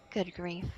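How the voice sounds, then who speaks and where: A woman's voice: a little high, vocal fry, a little mellow, muffled recording, full character voice. A woman voicing a fretful, proper young female companion to a princess in a visual novel. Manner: flustered, exasperated.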